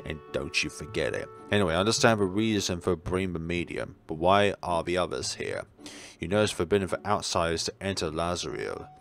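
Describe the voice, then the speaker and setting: A man voicing a haughty, commanding cat who is an almighty sea god, with a deep voice, for a visual novel. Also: lively intonation, a little fast, gravelly, fairly bright tone, faint music behind, exaggerated voice. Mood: haughty, stern. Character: pompous talking cat, an almighty male sea deity.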